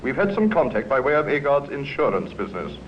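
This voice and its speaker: An actor playing a curt, insistent gentleman in a vintage radio drama. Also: medium pitch, very animated, fast, natural voice. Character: curt, insistent gentleman caller leaving an urgent message. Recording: dull old radio recording, faint hiss.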